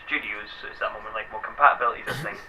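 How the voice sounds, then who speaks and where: A man's voice: lowish voice, racing. Rambling young Scottish man, slightly dull line. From Scotland. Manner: rambling, lots of fillers. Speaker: guest. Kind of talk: casual chat. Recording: slightly dull, faint noise.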